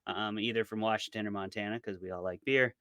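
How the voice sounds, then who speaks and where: A man's voice: fairly low pitch, steady tone, fast talker, a bit bright, clean tone, no room sound, clean studio sound. A man being interviewed as a podcast co-host, explaining things in a casual, informal way.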